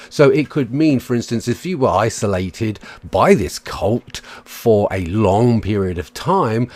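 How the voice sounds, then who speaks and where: A man's voice: mid-range voice, darkish tone, no room sound. A man reading aloud and commenting in a wry, sardonic manner.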